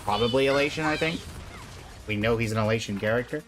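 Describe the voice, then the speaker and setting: A man's voice: mid-range voice, quick pace, a bit bright. A man chatting casually as he livestreams a game, easygoing as he answers and advises viewers. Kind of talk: casual livestream chat and commentary. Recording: game music behind the voice. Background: game music.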